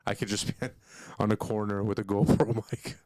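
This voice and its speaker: A man's voice: fairly low pitch, little pitch movement, moderate pace, darkish tone, no echo. A man co-hosting a livestream, joking and teasing in casual chat. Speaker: co-host.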